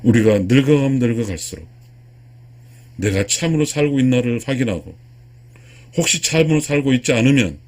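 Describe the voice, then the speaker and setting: Husky man in his sixties, lecturing emphatically. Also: mid-range voice, a little mellow. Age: 60s. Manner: earnest, emphatic, repeats and restarts key phrases. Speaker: lecturer, video channel host. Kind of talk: spiritual lecture addressed to viewers.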